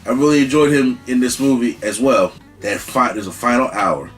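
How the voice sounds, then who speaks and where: Excited man reviewing a movie. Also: medium pitch, normal speed, soft background music, rough recording. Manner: excited, repetitive restarts, emphatic. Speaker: channel host, movie reviewer.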